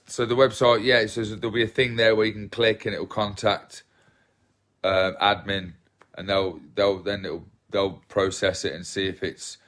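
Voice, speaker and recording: A man in his thirties from England, hosting a casual livestream from his phone at home, soft-spoken and rambling, often repeating himself as he reads comments. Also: fairly low pitch. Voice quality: relaxed voice. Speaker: livestream host. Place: small living room at home, indoors. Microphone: phone held at arm's length.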